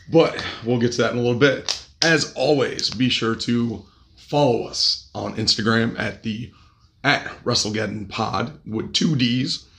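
Raspy man with a cold hosting. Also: mid-range voice, unhurried, fairly bright tone, a little hiss or hum. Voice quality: gravelly. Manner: crude, joking, upbeat. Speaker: podcast host. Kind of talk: casual podcast chat.

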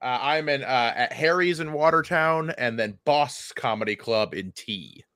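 A man who works as a comedian, co-hosting a podcast with casual, crude joking and a stammering, sometimes loud delivery. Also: medium pitch, animated, unhurried, no room sound, clean studio sound. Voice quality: loud, given to yelling. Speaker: stand-up comedian.

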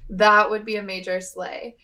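A young woman in her twenties talks casually on a podcast. She is playful and chatty and likes dramatic exaggeration. Her pitch sits in the middle. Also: level tone, normal speed, sharp tone. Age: 20s. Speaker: podcast co-host. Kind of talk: casual chat.

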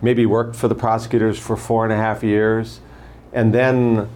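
An older man running for prosecutor, answering interview questions in a measured way and telling stories from his career. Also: fairly low pitch, flat delivery, darkish tone. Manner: measured, anecdotal, lists names and past posts. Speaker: candidate for prosecutor.

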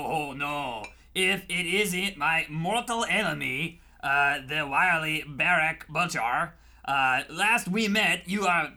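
A man's voice: fairly high pitch, pushed and bright, exaggerated voice. A man playing a pompous, vengeful French meter-man villain with theatrical delivery in a comic radio play. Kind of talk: comic parody radio drama.

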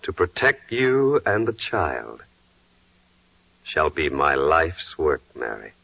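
An actor playing a humble, devout carpenter, earnest and reverent, in the measured style of 1940s radio drama. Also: male voice, a bass voice, big pitch swings, gravelly, sharp tone, husky, heavily acted. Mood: earnest, devoted. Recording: dull, echoey vintage radio recording.

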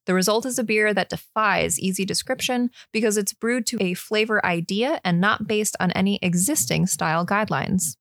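A woman's voice: medium pitch, normal speed, few pauses, fairly bright tone, no echo. Woman, giggly, casual podcast co-host, dry close sound. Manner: giggly, reads brewery facts. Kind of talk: casual beer-tasting chat.

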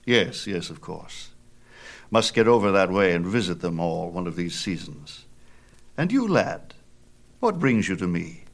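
A man's voice: deep voice, sing-song, measured pace, vocal fry, full character voice. A man voicing a proud, mighty father god in a myth tale, imperious, theatrical and emphatic. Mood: lofty, teasing. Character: proud, mighty sun god father, booming and quick-tempered. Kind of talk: dramatized myth storytelling.

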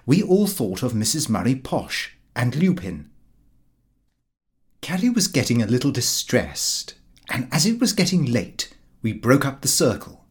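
Lively, animated male audiobook narrator. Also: medium pitch, a little slow. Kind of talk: expressive scripted narration of a comic diary.

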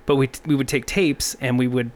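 A man's voice: mid-range voice, fairly even intonation, brisk. A man in his thirties, guest and producer on a podcast, chatting casually and dryly with family in a home kitchen. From Canada. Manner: easygoing, dry understatement. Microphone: brought-in podcast mic.

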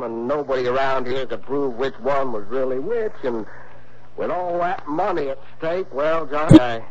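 A man's voice: medium pitch, close to an everyday voice. An actor playing a modest, folksy local man in a 1960s radio drama, musing in a hesitant, homespun way. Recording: dull old radio recording, faint noise.

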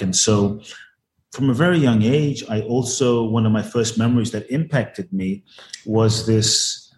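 A man's voice: lowish voice, a little mellow, reverberant, slight background noise. A man from Ghana, a spiritual teacher telling his life story earnestly in an interview over a video call, repeating words for emphasis.

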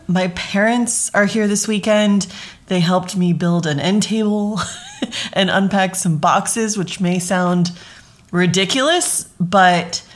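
A woman in her thirties hosting her own podcast, talking in a casual, chatty way with lots of "like" fillers. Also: fairly low pitch. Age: 30s. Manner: chatty, friendly, frequent "like" fillers. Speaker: podcast host. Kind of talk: casual solo podcast chat.

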